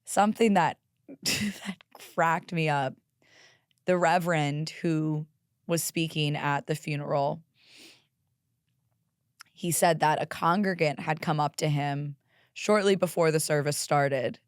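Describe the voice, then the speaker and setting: Young woman podcast host, hoarse and raspy, candid and profane, lower voice, dry close sound. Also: slow pace, pauses often, no room sound. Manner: candid, profane, animated with laughs. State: hoarse from karaoke singing. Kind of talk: solo podcast monologue.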